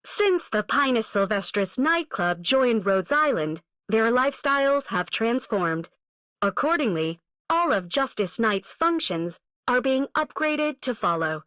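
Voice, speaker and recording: A woman's voice: fairly high pitch, sing-song, a little slow, a bit bright, dry recording, low-quality recording. A woman voicing a dutiful, formal robot knight in scripted video game lines.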